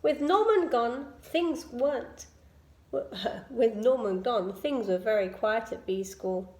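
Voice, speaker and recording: A woman reading a children's picture book aloud in an expressive storytelling style. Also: fairly high pitch, takes it slowly. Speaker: storybook reader. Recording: echoey room.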